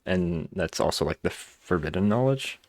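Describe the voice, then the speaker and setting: A man's voice: low-pitched, animated, normal speed, darkish tone, slight background noise. A man doing casual game commentary, informally musing aloud as he plays. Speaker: gaming video host. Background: faint game music.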